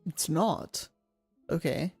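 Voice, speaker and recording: A streamer giving casual gameplay commentary in a husky voice. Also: medium pitch, slow pace, a little mellow, no echo, soft background music.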